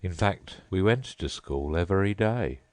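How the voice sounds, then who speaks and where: A melancholy male sea creature from a storybook, sighing through every line, as a man puts him on. He talks slowly and a touch louder than the narration. The recording is dry and close. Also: low voice, expressive, no echo. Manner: mournful, slow and sighing.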